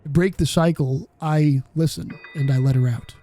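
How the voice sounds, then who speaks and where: A man's voice: a little high, fairly even intonation, normal speed, mellow, soft background music. A man narrating a video essay in a casual, reflective way, with the occasional swear.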